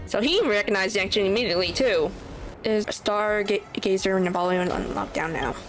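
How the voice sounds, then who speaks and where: A woman giving casual commentary as she plays a game. Her voice sits in a middle register, muttering slangy reactions, with soft music in the background. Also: medium pitch, normal speed. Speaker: gaming streamer.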